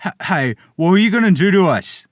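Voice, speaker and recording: A man's voice: high voice, lively intonation, fairly quick, no room sound, voice pushed up. Man playing a colloquial ambulance driver, dry recording. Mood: frightened. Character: dismissive, gruff-talking male ambulance driver, easily cowed. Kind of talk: old radio serial acting.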